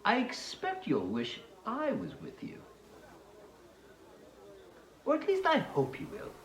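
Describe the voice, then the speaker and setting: A man's voice: very high voice, wildly swooping pitch, takes it slowly, a bit bright, heavily acted. A man playing an ardent, wistful young suitor in a dramatized novel reading, earnest and coaxing. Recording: roomy, hissy home recording.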